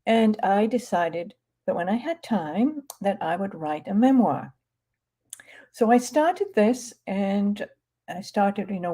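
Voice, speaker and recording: An older woman from England with a British accent, an author chatting casually about her book, relaxed and chatty with frequent pauses. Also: mid-range voice.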